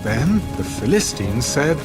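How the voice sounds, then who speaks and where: Expressive male scripture narrator over music. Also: medium pitch, a little slow.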